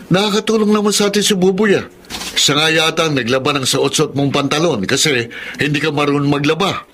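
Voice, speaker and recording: An actor playing a calm, fair-minded father. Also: on the high side, brisk, a little hiss or hum, natural voice. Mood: reproving. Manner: calm, reasoning, reproving. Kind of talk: radio drama acting.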